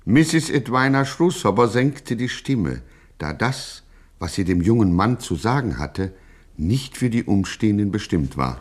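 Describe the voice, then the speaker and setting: An actor playing the theatrical, mock-epic narrator of a radio gangster satire. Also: male voice, fairly low pitch, sing-song, a little slow, husky, exaggerated voice. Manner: florid, theatrical. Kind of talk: scripted radio drama narration.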